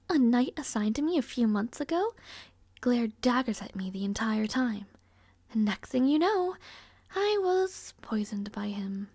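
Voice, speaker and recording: Woman playing young princess, audio roleplay, arrogant, weary, formal, high-pitched, close dry recording. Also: gravelly, a little mellow, dry recording, heavily acted. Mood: weary, resigned. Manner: haughty, languid, sighing, formal wording. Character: rude, arrogant, somewhat shy young princess, haughty and weary. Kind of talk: scripted audio roleplay acting, addressing the listener.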